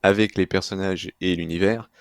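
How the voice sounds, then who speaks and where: Man from France, guest, very low voice, dry close sound, measured explanations. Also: fairly even intonation, clean tone, no echo. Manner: measured, explaining his reasons. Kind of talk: casual discussion.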